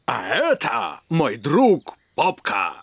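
An actor playing a boisterous, courteous elf pirate in a cartoon, with theatrical, drawn-out exclamations. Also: male voice, fairly high pitch, wildly swooping pitch, vocal fry, husky, dry recording, clean studio sound, full character voice. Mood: adventurous. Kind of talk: cartoon dubbing.

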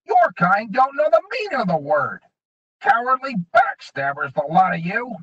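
A man reading an audiobook, voicing a sneering, bullying male goblin gang leader with high, snarling taunts. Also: very animated, normal speed, a bit bright, husky, heavily acted. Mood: menacing. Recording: dull lo-fi recording.

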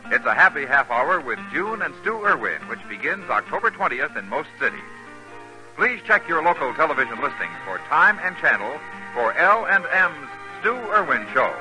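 A man's voice: a little high, sing-song, moderate pace, sharp tone, rough recording. An American man announcing in scripted 1950s radio style. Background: faint music.

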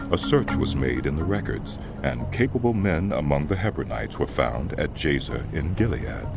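A man narrating scripture for a dramatized audio Bible in a measured, solemn manner. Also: a bass voice, vocal fry. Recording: loud music bed under studio narration. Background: music.

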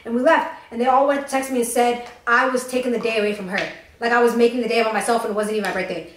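A young woman co-hosting a podcast, telling a story fast and with lots of swearing while doing her makeup on a couch. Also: on the high side, echoey room, slight background noise. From Tennessee. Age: young adult. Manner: fast, profane, animated storytelling. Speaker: podcast co-host. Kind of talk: casual storytime chat. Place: living room, on a green couch.

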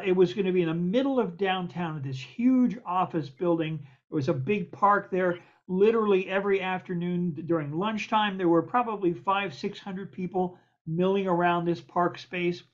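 An older man, a producer and co-host, telling an emphatic, animated story over a video call. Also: high voice. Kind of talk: casual storytelling. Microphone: video call webcam. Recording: dull lo-fi video call audio.

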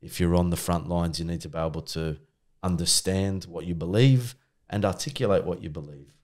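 A man co-hosting a podcast, speaking in a measured, earnest and reflective way. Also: deep voice, a little mellow. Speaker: podcast co-host. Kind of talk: casual conversation.

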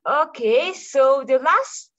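A woman hosts a club meeting, posing questions and repeating them. She speaks slowly with a somewhat high pitch. The audio is dull and lo-fi. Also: animated, fairly bright tone. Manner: unhurried, repeats her questions. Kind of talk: meeting moderation.

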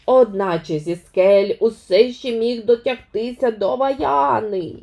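A woman reads a fairy tale to children. Her voice is soft-toned and fairly high, with wide melodic swings. She stresses words deliberately for drama. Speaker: children's storyteller. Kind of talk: expressive scripted fairy tale narration.

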